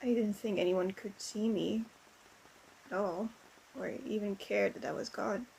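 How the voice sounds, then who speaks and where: A woman playing a distraught, lonely young female ghost in an emotional audio roleplay, speaking haltingly with stammered repetitions. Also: medium pitch, steady tone, creaky, a little mellow, slight background noise, poor audio. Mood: subdued, relieved.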